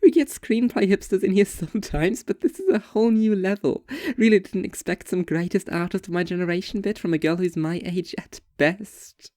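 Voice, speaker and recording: A woman's voice: medium pitch, moderate pace, few pauses, mellow, dry recording, studio quality, lightly acted. A woman playing a blunt young waitress in an audio story, casual, teasing and sarcastic. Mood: incredulous teasing.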